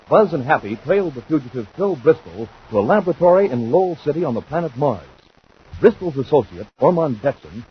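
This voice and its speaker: Higher-voiced man announcing vintage radio. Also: husky. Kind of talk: 1950s radio scripted narration. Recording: muffled, noisy 1950s radio recording.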